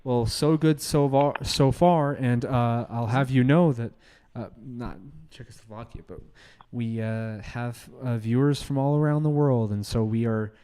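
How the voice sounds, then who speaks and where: A man co-hosting a call-in show in a conversational, polite, halting way. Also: mid-range voice, fairly even intonation, warm tone, clean tone.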